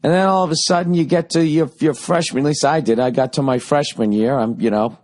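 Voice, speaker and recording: A man in his forties with a Boston accent, ranting sarcastically and profanely in a rambling comic monologue, his voice going a little hoarse. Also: a little high, a little fast, darkish tone. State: voice getting hoarse. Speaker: podcast host.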